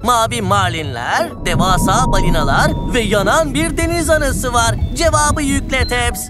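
A woman's voice: fairly high pitch, animated, normal speed, loud music behind the voice, lightly acted. A woman voicing a cheerful, curious young male cartoon adventurer who exclaims and explains things simply in a children's dub. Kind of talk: children's cartoon dubbing in Turkish.

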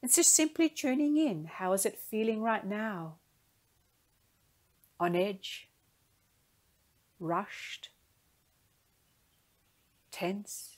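A woman leading a guided meditation in a gentle, soothing, unhurried manner, speaking in soft phrases. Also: mid-range voice.

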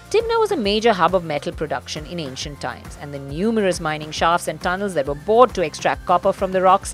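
Enthusiastic woman travel presenter over music. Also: lowish voice. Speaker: motoring journalist and travel host. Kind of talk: travel vlog narration and on-camera commentary. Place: underwater observatory, then inside a car. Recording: voice with loud background music.

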